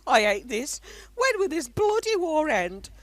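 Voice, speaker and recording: Higher-pitched, fretful wartime grandmother acted by a woman. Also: sing-song, measured pace, no echo. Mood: fearful. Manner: motherly, fretful, earnest. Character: anxious, caring older mother and grandmother in wartime. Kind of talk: amateur radio drama acting.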